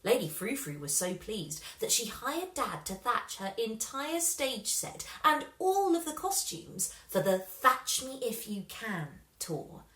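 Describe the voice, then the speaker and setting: A woman reading a children's story aloud with expressive, dramatic emphasis. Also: a little high, slow pace, hardly any pauses, reverberant. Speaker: storybook reader.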